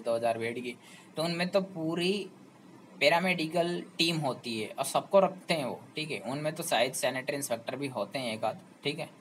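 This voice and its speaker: A man of ordinary pitch talks students through a topic, persuading and pressing them with rhetorical questions. Faint background noise hangs under his voice. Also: poor audio. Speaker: job information channel host. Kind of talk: explanatory talk to students.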